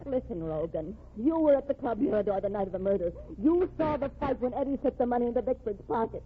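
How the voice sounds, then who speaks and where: Through a thin, phone-like old radio recording comes an actress as a high-voiced young woman. She is impassioned and desperate, pleading and accusing with urgent melodrama, in the manner of a vintage crime play. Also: lively intonation, quick pace, slight background noise, close to an everyday voice. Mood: frantic. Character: desperate, impassioned young woman fighting for her condemned sweetheart. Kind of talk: old-time radio crime drama acting. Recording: tinny band-limited old radio recording.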